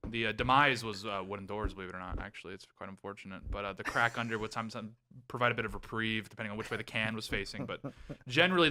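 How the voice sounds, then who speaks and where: Fast, forceful young man with a low voice. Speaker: podcast panelist. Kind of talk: casual banter and anecdotes.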